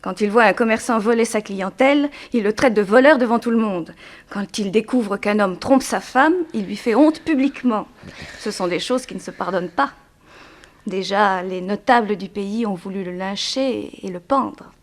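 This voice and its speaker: A young woman of a planter's family, bereaved yet composed, played by an actress in a 1960s French radio play. She speaks frankly in a middle-pitched voice, with measured, theatrical diction and a guarded edge. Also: lightly acted. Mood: earnest.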